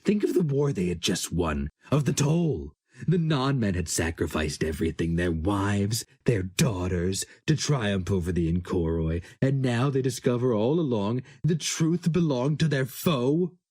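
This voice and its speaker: A man's voice: lowish voice, lively intonation, measured pace, a little mellow, breathy edge, pitched above the performer's own voice. A man voicing a scornful, fervent, half-mad male sorcerer in a dramatic fantasy story reading, theatrical and hectoring. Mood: desperate, impassioned.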